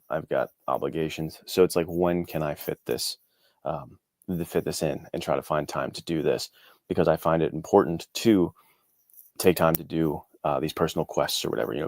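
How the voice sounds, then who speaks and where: Male interview guest, very deep voice. Earnest, encouraging, reflective and conversational, with a dry sound. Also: a little mellow, no echo. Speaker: interview guest, indie author. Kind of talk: casual chat, reflective answers.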